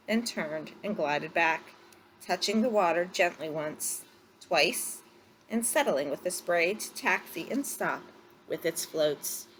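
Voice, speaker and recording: A woman narrating a story aloud from a script, phrasing it deliberately for drama. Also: lowish voice, a bit bright, slight background noise, low-quality recording.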